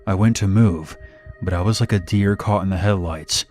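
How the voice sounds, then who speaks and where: A man's voice: sits low, normal speed, darkish tone. A man reading a scripted first-person horror story as narration. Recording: music bed behind narration. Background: background music.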